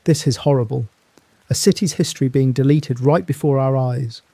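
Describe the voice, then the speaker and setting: An actor playing a somber male foreign correspondent reporting from abroad, in a hushed, somber manner. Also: medium pitch, moderate pace, vocal fry, no room sound. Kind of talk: scripted dramatic news report.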